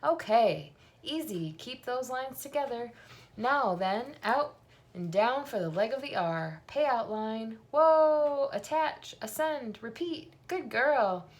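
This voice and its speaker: A woman's voice: fairly high pitch, lively intonation, slow, voice pushed up. A woman voicing a busy female spider coaching herself in short, clipped commands for a children's story. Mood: brisk, encouraging.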